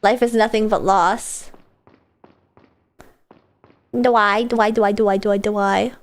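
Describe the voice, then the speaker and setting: A woman's voice: medium pitch, steady tone, a little slow. A young woman streaming a game while sick, chatting in a playful, giggly, offhand way. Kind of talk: casual gameplay chat.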